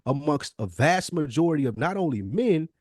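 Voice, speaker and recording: A man co-hosting a podcast, making a casual, conversational pitch in an emphatic, insistent way, often stacking repeated phrases. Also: big pitch swings, normal speed, a little mellow, no echo, clean studio sound. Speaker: podcast co-host.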